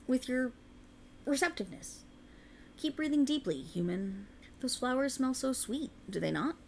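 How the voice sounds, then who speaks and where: Woman performing an ancient faerie enchantress for ASMR roleplay: creaky voice, courtly old-world phrasing, soothing, possessive, ambient noise underneath. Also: medium pitch, darkish tone, natural voice. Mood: tender, admiring, then hypnotic, gloating. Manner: soothing, formal archaic phrasing, coaxing. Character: ancient alluring female faerie, tender yet sinisterly possessive.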